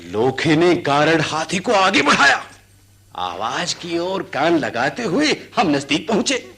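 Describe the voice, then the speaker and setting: A man's voice: on the high side, slow, husky, full character voice. An actor playing an earnest, servile male elephant catcher who tells his tales vividly in a radio drama. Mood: deferential, eager. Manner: servile address, vivid excited recounting. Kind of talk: Hindi radio drama acting.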